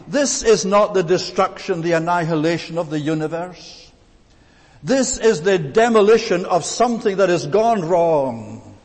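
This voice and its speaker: A man from Scotland with a Scottish accent, preaching an earnest expository sermon and repeating words for emphasis. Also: high voice. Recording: long reverb of a large hall.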